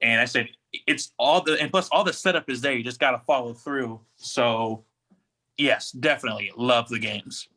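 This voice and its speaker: A man's voice: mid-range voice, normal speed, bright, forceful tone. A young man co-hosting a casual chat, speaking emphatically as a keen fan. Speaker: podcast co-host.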